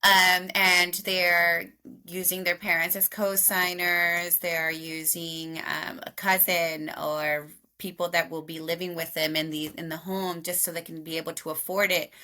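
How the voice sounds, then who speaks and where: A woman's voice: lowish voice, flat delivery, measured pace, bright, forceful tone. A woman in her thirties from Mexico, a loan officer answering interview questions agreeably, with hesitant fillers.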